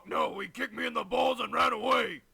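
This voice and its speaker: A man's voice: extremely high pitch, a little fast, creaky, a crowd in the background, heavily acted. A man voicing a blunt, pompous, mocking authority figure in a cartoon parody dub. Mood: pompous, dismissive.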